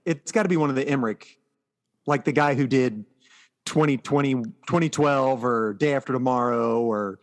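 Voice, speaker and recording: A man's voice: mid-range voice, moderate pace. Podcast co-host: middle-aged American man, casual, jokey, conversational delivery. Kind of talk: casual chat about movies and pop culture.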